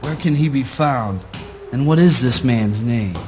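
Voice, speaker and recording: A man's voice: medium pitch, a little slow, darkish tone, slight background noise, natural voice. An actor playing a stern, righteous father in a spoken word play, speaking in a pompous, archaic, declamatory way. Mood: resolute.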